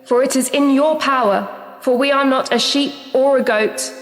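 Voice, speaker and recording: A woman poet in a radio play, performed by an actress, idealistic but weary. Her voice sits a little high and has a dry, sardonic edge. It rings in a roomy, reverberant space. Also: fairly even intonation, moderate pace, faint music behind, natural voice. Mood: earnest, declaiming. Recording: roomy echo with long reverb.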